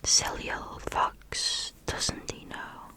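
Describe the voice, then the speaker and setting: A woman reading children's stories in a soft bedtime whisper. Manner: soft unvoiced whisper, slow and sing-song. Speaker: storyteller.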